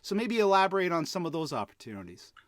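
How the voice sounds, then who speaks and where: A man hosting a podcast, explaining things conversationally in an animated, playful way. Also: sits high, fast, no echo, studio quality. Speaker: podcast host. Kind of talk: conversational explainer talk with co-host.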